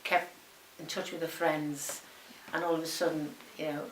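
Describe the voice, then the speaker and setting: A woman's voice: low voice, flat delivery, moderate pace. A woman, answering unscripted interview questions. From Liverpool, England. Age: 70s. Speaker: documentary interviewee. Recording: roomy sound with faint hiss.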